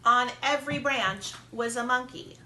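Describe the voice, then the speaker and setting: Bright-voiced woman reading to preschoolers. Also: fairly high pitch. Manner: expressive, with deliberate emphasis and repetition. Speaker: preschool teacher. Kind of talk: storybook read-aloud for young children. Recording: echoey room with faint noise.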